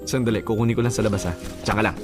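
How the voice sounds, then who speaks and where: A man's voice: on the low side, steady tone, very fast, over music, close to an everyday voice. A man with a Filipino accent playing a domineering young boyfriend in radio drama style. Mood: eager, coaxing. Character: domineering, jealous young boyfriend giving orders. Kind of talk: Tagalog radio drama acting.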